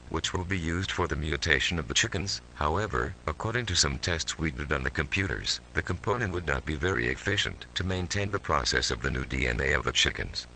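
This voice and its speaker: Very deep, gravelly man playing a cold scientist. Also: sharp tone, a little hiss or hum, full character voice. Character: male military scientist coldly recounting his mutant experiments. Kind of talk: scripted analog horror narration.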